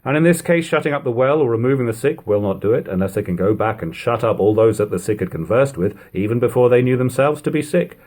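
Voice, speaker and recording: Husky man reading aloud with lively intonation. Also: mid-range voice. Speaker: audiobook reader. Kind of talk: literary narration of an old-fashioned first-person account.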